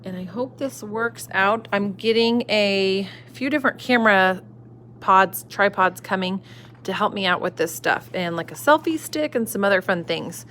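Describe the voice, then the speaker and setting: A woman reading a children's picture book aloud from home, warm and expressive, asking her young listeners questions. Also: mid-range voice, moderate pace, slight background noise. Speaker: storyteller. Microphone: phone camera.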